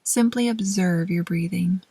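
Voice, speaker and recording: A woman gently leading a guided meditation in a soft, breathy voice that often trails toward a whisper, with measured pauses between short phrases. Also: lowish voice, dry recording. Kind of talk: slow, soothing guided meditation narration.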